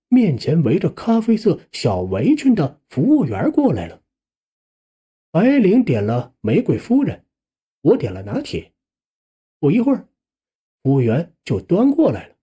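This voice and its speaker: A man's voice: high voice, dark tone, slightly rough. A man narrating a first-person suspense audiobook in a colloquial storytelling manner.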